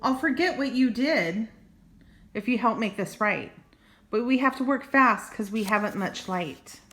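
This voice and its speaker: A woman voicing a kind, organized storybook heroine who speaks in a gentle, instructive way, in a roomy echo. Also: a little high, expressive, a little slow. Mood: earnest.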